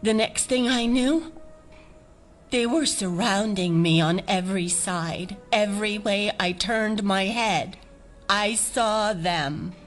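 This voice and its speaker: An actress playing a fragile, haunted abductee in an audio drama, telling her account in a halting, earnest, dreamy way. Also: mid-range voice, soft background music, lightly acted. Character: fragile, haunted woman recounting an alien abduction.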